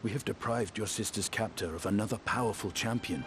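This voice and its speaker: An actor playing a measured, resolute male ally in a fantasy video game, formal and composed. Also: lowish voice, noisy background, natural voice.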